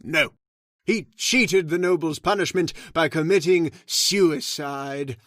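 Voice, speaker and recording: Guy doing a grave old caretaker in a radio play, slow and ominous, dry studio sound. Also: a little high, fairly bright tone, dry recording. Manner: slow, ominous, measured delivery. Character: grave, solemn male storyteller of the house. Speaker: Mister Roberts, old manor caretaker telling the legend. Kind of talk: old-time radio drama acting.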